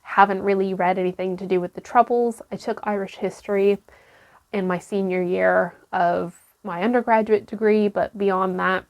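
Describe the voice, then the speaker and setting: A young woman chatting casually to camera about her reading, her voice still croaky after illness, with lots of ums and restarts. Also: medium pitch, fairly even intonation, normal speed, dull audio. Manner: rambling, many ums and restarts. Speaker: book vlogger.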